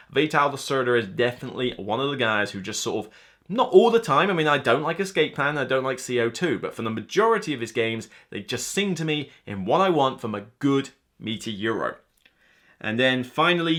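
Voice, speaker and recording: A man in his thirties with a bright, forward voice at an ordinary male pitch. He rambles casually to camera like a hobby reviewer, chatty and quick to poke fun at himself. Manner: chatty, rambling, self-deprecating. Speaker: board game reviewer and video host. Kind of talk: casual unscripted vlog commentary to camera. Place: small room lined with board game shelves, indoors.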